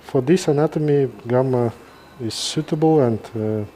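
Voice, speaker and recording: A middle-aged man with a Kazakh accent gives informal live commentary as a surgeon, halting and pausing mid-sentence. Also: mid-range voice, warm tone, slight background noise. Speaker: neurosurgeon presenting a live case.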